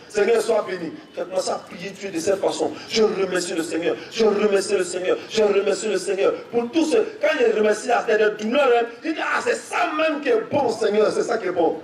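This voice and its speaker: A young man with an Ivorian French accent preaching a testimony sermon, telling his story and rising to shouts with repeated phrases. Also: high-pitched, brisk, few pauses. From Côte d'Ivoire. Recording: echoey, from far off, faint music.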